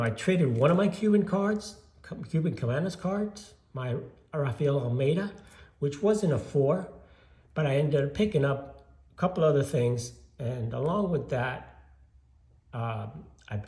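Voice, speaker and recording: A man's voice: medium pitch, warm tone, reverberant. An older man from Cuba chatting casually about his collection, rambling with lots of fillers and restarts. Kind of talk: casual show-and-tell vlog.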